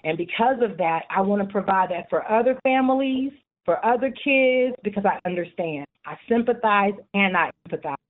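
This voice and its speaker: A woman's voice: mid-range voice, lively intonation, normal speed. A middle-aged woman speaking earnestly and at length as a guest in a radio interview, with passionate conviction. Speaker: guest, youth support group chapter leader. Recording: narrow tinny phone-like line.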